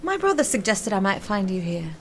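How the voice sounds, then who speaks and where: Poised, mid-pitched sweetheart played by a woman. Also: very animated, a little fast. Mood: teasing, tender. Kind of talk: radio drama acting.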